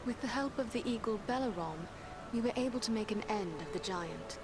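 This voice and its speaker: A woman's voice: a little high, expressive, brisk, background noise. An actress playing a resolute woman warrior in a fantasy game, speaking in a formal, determined way. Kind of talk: fantasy game cutscene acting.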